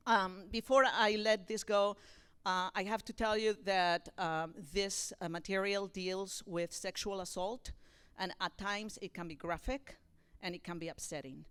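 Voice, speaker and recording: Woman director doing an informal intro to a theater crowd, clear smooth voice, explains stuff straight to the room. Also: medium pitch, a bit bright. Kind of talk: informal spoken introduction to an audience. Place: theater, speaking to a live audience.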